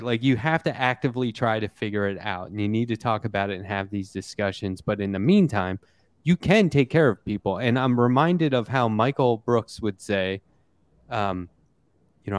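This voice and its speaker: A man's voice: medium pitch, smooth, no room sound. A man hosting a casual political discussion, talking in an earnest, reflective and conversational way. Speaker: show host.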